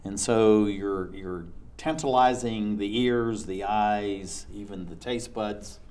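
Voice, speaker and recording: Rambling low-voiced male show host. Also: slow, darkish tone. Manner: rambling, enthusiastic questions. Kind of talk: hosting an interview show.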